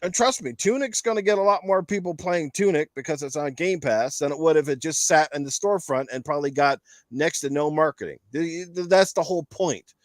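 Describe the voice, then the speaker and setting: A man's voice: on the high side, brisk, no room sound. A man hosting a casual gaming talk show, talking in a rambling, emphatic, explanatory way. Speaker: podcast host.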